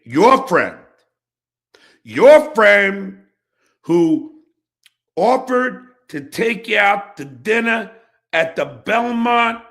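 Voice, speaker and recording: Male host, confrontational and profane ranting; very slow delivery with dramatic pauses, voice swinging widely in pitch and gravelly with fry. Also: a bit bright. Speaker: online show host. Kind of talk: angry personal rant addressed to an absent rival.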